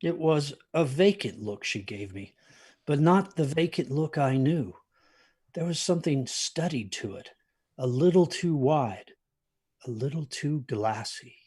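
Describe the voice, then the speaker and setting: A haunted grandfather tells a dark tale in a fairly high, husky voice. He speaks haltingly and intensely, like someone on stage reliving his youth. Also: expressive. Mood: haunted, tense. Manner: halting, intense, repeats himself. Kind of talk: dramatic storytelling.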